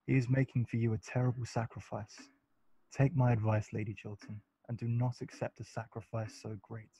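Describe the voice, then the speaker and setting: An actor playing a witty, urbane English gentleman with a measured, articulate delivery in a radio play. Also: mid-range voice, little pitch movement, dull audio, slight background noise, close to an everyday voice. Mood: earnest persuading.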